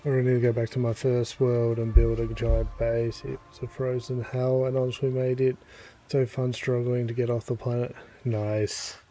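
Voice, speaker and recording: A man giving casual commentary as he plays a game, relaxed and thinking aloud. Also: mid-range voice, normal speed, a little hiss or hum, poor audio. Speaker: gaming YouTuber.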